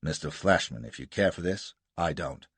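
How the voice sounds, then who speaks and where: A man reading aloud and voicing a cool, defiant American rogue adventurer who speaks low and clipped. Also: expressive, normal speed, slightly rough, dry recording, exaggerated voice.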